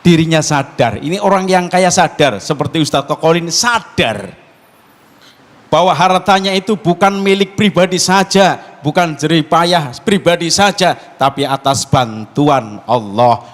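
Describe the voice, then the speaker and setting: High-voiced Tegal Javanese preacher, echoing hall. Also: male voice, expressive, unhurried. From Tegal, Central Java, Indonesia. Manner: jokey, quizzes listeners with call-and-response questions. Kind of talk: interactive sermon to a congregation. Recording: long hall reverb.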